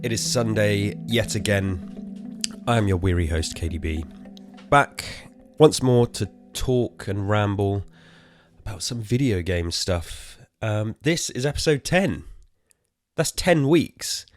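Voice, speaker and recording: A tired, hoarse man with an English accent, rambling casually as host of his own podcast, swearing and poking fun at himself. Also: lowish voice, animated, slow pace, no echo, soft background music. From England. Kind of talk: casual solo podcast chat.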